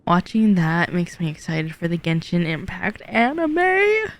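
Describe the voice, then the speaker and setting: Animated woman streamer reacting to a game. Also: lowish voice, a little slow, no room sound. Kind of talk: casual gameplay reaction commentary.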